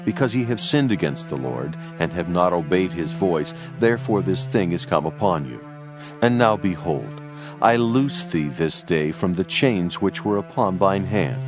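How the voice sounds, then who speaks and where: A man playing an ancient Babylonian guard captain in a dramatized scripture reading, slow, formal and solemnly authoritative. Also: low voice.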